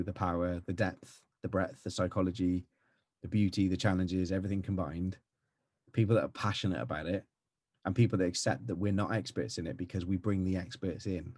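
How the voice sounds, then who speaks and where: A middle-aged man with a West Country accent runs through marketing tips quickly and energetically. His voice sits fairly low, mellow and a little husky. The sound is dry, as on a video call. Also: no room sound. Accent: West Country English. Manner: fast, energetic, chatty. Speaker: marketing agency owner. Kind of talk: casual tutorial talk to camera. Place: home with green screen background.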